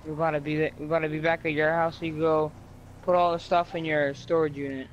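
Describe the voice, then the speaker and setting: A man's voice: on the high side, level tone, fairly quick. Man, game roleplay chat, muffled lo-fi sound, faint noise. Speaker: gamer roleplaying online.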